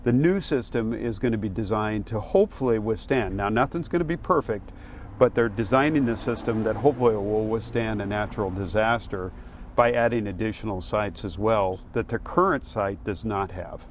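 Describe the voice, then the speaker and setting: A man speaking as a fire official, explaining matters in a halting, matter-of-fact way during an interview. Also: fairly low pitch, slight background noise.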